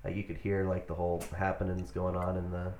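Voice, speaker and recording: A man's voice: low-pitched, monotone, brisk, a little mellow, a little hiss or hum. A man in his thirties with an American accent, chatting casually as a guest in a rambling, conversational way. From Southern California, United States. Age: 30s. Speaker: interview guest, band member. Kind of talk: casual chat. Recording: roomy, echoey sound.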